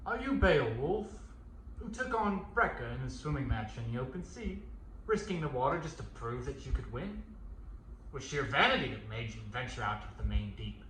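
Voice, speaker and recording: A man's voice: mid-range voice, very animated, normal speed, fairly bright tone, pitched below the performer's own voice. Man acting taunting warrior in an echoey room. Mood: scornful, taunting. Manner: taunting, declamatory, emphatic. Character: envious, scornful warrior challenging a hero. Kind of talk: theatrical verse storytelling. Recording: roomy echo, faint noise.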